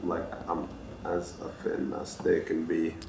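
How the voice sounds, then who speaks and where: A man in his thirties from Finland, a native Swedish speaker, talking in a relaxed, thoughtful way as an interview guest in a small studio room. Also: low voice, a little slow, gravelly, a little hiss or hum. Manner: relaxed, thoughtful, restarts sentences. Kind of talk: casual interview talk. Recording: roomy echo with faint ambience.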